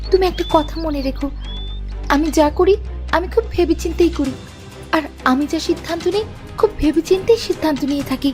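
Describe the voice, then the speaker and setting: A woman's voice: very high voice, darkish tone. A woman voicing a bold, stubborn young village woman in a fairy-tale cartoon, speaking with defiant emphasis.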